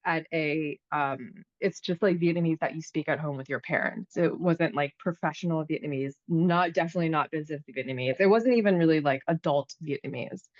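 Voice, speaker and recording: A woman in her thirties from the United States, hosting a podcast in a relaxed, chatty way, with lots of fillers and restarts. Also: low-pitched, fairly quick, hardly any pauses, dry recording, lo-fi sound. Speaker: podcast host. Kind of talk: casual conversation.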